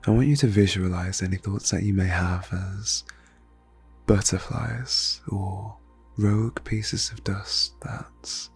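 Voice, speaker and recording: Male ASMR meditation guide: very breathy, low, slow and soothing, faint music underneath. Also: steady tone. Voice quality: breathy, hushed. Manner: slow, soothing, deliberate phrasing with long pauses. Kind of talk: ASMR guided meditation, soft-spoken, often near whisper.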